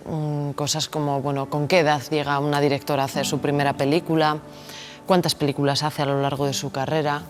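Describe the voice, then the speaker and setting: A woman film director from the Basque Country with a Basque Spanish accent, answering interview questions in a thoughtful, hesitant way and often repeating words as she searches for them. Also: low voice. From Basque Country, Spain.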